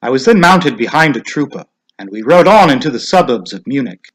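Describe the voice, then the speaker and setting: Man, dramatic narrator, lively intonation, mid-pitched voice, scripted literary reading. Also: fairly quick, rough recording.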